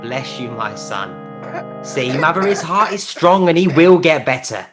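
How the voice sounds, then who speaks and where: Woman as a slow, low-voiced, gentle family father. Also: lively intonation, over music. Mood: tender. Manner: tender, measured. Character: gentle, hopeful working-class father of a family. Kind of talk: radio play acting.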